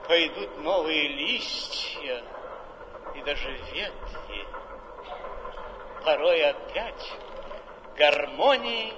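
A man's voice: sits high, full character voice. An actor playing a melancholy aging man of letters in love, reciting with heartfelt, theatrical declamation in a radio drama. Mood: melancholy, yearning. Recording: noisy, hissy radio play recording.

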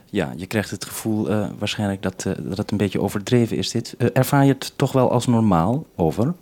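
A man's voice: lowish voice, lively intonation, brisk, mellow. A man conducting a radio interview, asking questions in a formal, somewhat hesitant way.

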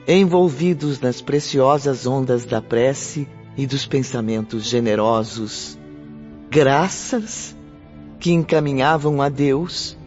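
A man with a Brazilian accent giving solemn scripted radio drama narration in measured, dramatic phrases. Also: mid-range voice, darkish tone. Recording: dull lo-fi audio, music behind.

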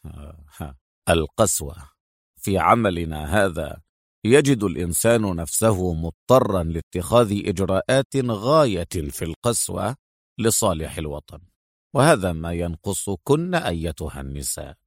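A man's voice: low-pitched, moderate pace, husky, no room sound, pitched below the performer's own voice. A man voicing a stern, sardonic secret agent with a dry, cold manner in an audiobook. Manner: dry, clipped, muttering through teeth. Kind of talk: acted audiobook dialogue.

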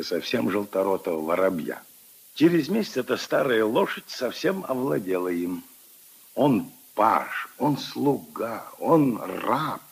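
Kindly old grandfather, low gravelly voice, lively intonation, wistful opinionated storyteller, 1950s radio drama recording. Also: exaggerated voice. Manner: ruminative, emphatic, intimate storytelling to his granddaughter.

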